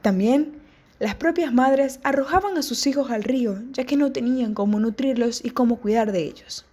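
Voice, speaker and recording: A woman narrates from a script in a steady, measured voice. Her tone is soft and mellow, and it sits a little high.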